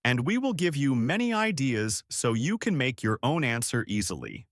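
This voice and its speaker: A man co-hosting a podcast English lesson, explaining example phrases in a clear, upbeat way. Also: mid-range voice, sing-song, moderate pace, dry recording, clean studio sound. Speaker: podcast co-host and English teacher. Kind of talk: scripted English lesson dialogue.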